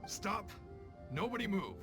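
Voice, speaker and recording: A man's voice: sits high, big pitch swings, unhurried. A man voicing an officious, formal law officer in a video game. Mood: stern, commanding. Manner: formal, commanding. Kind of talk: scripted game dialogue. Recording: game music behind the voice.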